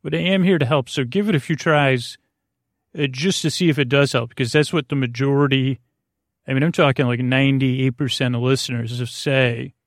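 A man with a creaky voice hosting a bedtime podcast in a meandering, halting, soothing monologue, often repeating himself and trailing off. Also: steady tone, dry recording, studio quality. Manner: soothing, low-pressure. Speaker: podcast host. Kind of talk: rambling bedtime monologue to help listeners sleep.

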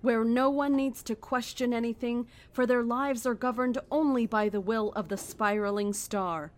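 High-voiced serene female cult leader played by an actress. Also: steady tone, lightly acted. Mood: calm zeal. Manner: measured, solemn, preaching. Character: serene, fanatical female cult leader in a post-apocalyptic horror. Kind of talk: horror audio drama acting.

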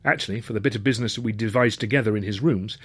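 A man's voice: fairly low pitch, very fast, a bit bright, husky, no room sound. A man narrating a comic novel in the first person, with wry, literary phrasing. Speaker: author and narrator.

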